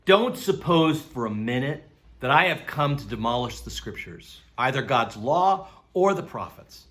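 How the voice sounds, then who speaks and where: A man's voice: mid-range voice, very animated, unhurried, fairly bright tone. A man reading scripture aloud as a church reader.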